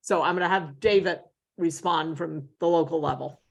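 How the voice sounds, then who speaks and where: A woman's voice: sits low, normal speed, creaky, lo-fi sound. A woman moderating a meeting and opening the floor to participants in an informal discussion. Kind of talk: meeting facilitation.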